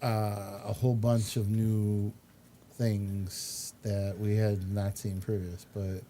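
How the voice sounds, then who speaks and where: A man's voice: on the low side, level tone, takes it slowly, warm tone, slight background noise. A man chatting casually and opinionatedly about pop culture, rambling as he explains and throwing in exasperated asides. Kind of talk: casual chat about films and comics.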